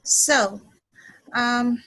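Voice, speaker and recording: A woman in her twenties from Bangladesh leads an English lesson in a high, light voice. She speaks slowly and carefully, restating points and checking in with "okay". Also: bright, forceful tone, low-quality recording. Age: 20s. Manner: slow and deliberate, repeats herself, frequent "okay". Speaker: English teacher.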